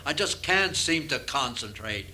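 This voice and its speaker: A man's voice: mid-range voice, animated, measured pace, sharp tone, a little hiss or hum. A man playing a distracted, rambling middle-aged police chief in a comic radio play. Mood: distracted, musing. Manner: rambling, absent-minded, dramatic. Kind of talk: comic radio theater acting. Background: music.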